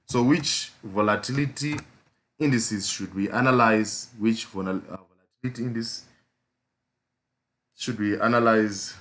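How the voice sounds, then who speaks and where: A man with a hoarse voice from a flu, hosting a casual live trading stream with a South African accent, rambling with lots of fillers and repeated pleas. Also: lowish voice, a little slow, long pauses, a bit bright. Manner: repetitive pleading, frequent fillers.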